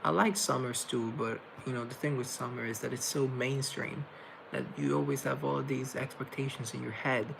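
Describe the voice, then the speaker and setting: Gravelly young man chatting on a livestream. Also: medium pitch, fairly even intonation, moderate pace, background noise. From Colombia. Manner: rambling, thinks aloud, reads viewer comments. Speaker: livestream host reading chat. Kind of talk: casual livestream chat. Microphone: laptop mic.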